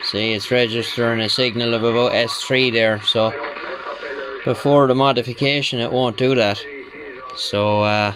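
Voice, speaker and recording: A man giving a casual hands-on repair walkthrough as a technician, in a halting, matter-of-fact way. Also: medium pitch, fairly even intonation, low-quality recording. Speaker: radio repair technician presenting own work.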